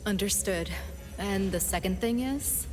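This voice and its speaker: A woman playing a shrewd, self-assured strategist in a video game, persuading in a confident, deliberate way. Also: mid-range voice, natural voice. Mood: calm, persuasive. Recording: prominent music behind the voice.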